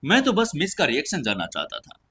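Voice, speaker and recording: A man's voice: on the high side, huge pitch swings, brisk, sharp tone, husky, heavily acted. A man voicing a grave, dutiful male bodyguard in an audio story, speaking with serious, restrained deference. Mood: low, restrained. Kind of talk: audio story character dialogue.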